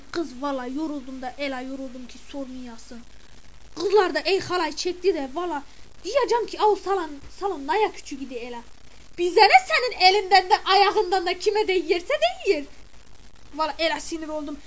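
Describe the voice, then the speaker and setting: A woman's voice: sits high, highs cut off. A woman playing a gossipy, grumbling village mother, chattering, exclaiming and scolding in a comic monologue.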